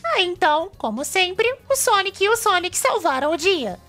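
A woman voicing a perky, sassy young female hedgehog guesting on a cartoon web show, bubbly and expressive, with a Brazilian accent. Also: very high voice, moderate pace, soft background music, exaggerated voice. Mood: upbeat.

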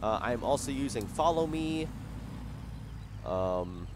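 A hoarse young man who is losing his voice, giving rambling, casual commentary over a farming game at his desk microphone, with lots of fillers and restarts. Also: mid-range voice, lively intonation, takes it slowly, noisy background. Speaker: gaming YouTuber. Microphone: desktop microphone.

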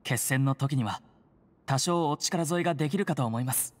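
A man's voice: mid-range voice, normal speed, a little hiss or hum, natural voice. Guy voicing a polite serious prison guard in a game, secretly helping, very formal, big echoey hall. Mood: grave, urgent. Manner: formal, deferential, solemn. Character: polite, earnest prison guard secretly aiding phantom hunters. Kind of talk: Japanese game voice acting. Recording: long reverberant hall.

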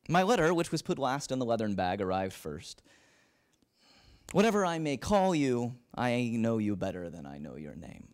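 A young man giving a dramatic reading of historical letters into a handheld microphone at a lectern. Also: mid-range voice. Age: young adult. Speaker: reader at a literary event. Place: library event room with seated audience.

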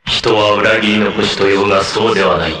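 An actor playing a vain, scheming, effete warlord villain in a cartoon, with a haughty, theatrical, word-savoring delivery. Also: male voice, fairly low pitch, fairly even intonation, fast talker, noisy background, natural voice. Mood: smug, self-adoring. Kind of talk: theatrical anime villain acting.

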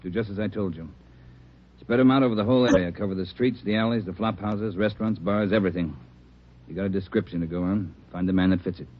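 An American man playing a brisk, commanding police captain giving orders in a 1950s radio drama. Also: sits low, fairly even intonation. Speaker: police superior. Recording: muffled old radio recording, faint noise.

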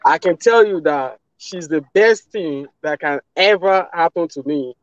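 A Nigerian man in his thirties talking casually as a guest on a live video call, relaxed, with many "you know" fillers. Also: fairly high pitch, a little slow, dry recording, muffled recording. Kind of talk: casual testimonial. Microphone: phone video call.

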